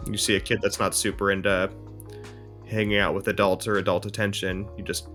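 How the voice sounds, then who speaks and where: A man acts as a foster father with a lowish voice, reasonable and a bit hesitant. He explains things tentatively, in the manner of an improvised drama. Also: little pitch movement, a little fast, a bit bright, faint music behind, natural voice. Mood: explaining. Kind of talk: improvised role-play conversation.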